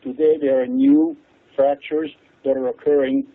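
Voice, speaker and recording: An older man with a Hungarian accent, explaining his subject in an interview with frequent chuckles and sudden jumps in pitch. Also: moderate pace. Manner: animated, chuckles often, pitch leaps for emphasis. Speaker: interview guest, water expert. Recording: tinny phone-like line.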